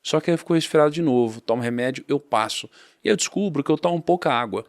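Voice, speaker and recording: A man's voice: on the high side, quick pace, no room sound, clean studio sound. A Brazilian man talking casually on a podcast, telling his story and driving home points by repeating phrases. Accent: Brazilian Portuguese.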